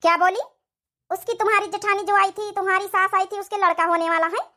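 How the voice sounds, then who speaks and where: Woman voicing a shrill, imperious sorceress guru. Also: steady tone, fast talker, pushed and bright. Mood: stern, demanding. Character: stern, greedy female guru and sorceress. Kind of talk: village comedy cartoon acting.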